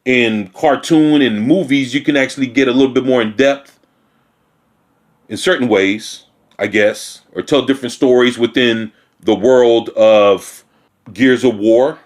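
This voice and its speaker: A man's voice: mid-range voice, steady tone, unhurried. A man giving a casual commentary. He reads a news piece aloud and breaks off into blunt, profane remarks, often restarting his sentences. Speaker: video commentator. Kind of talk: casual reaction rant reading news aloud.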